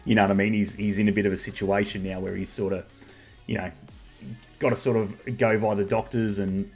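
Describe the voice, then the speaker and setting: Australian man, podcast host, lowish voice, rambling chatty talk. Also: little pitch movement, a little fast, husky, soft background music. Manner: rambling and chatty, many fillers. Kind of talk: casual chat.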